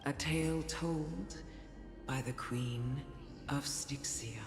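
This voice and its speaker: A woman playing a calm, composed storyteller in a video game drama, gently formal and deliberate. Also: rumbling low, full character voice. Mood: measured. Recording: echoey hall reverb, music underneath. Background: game music.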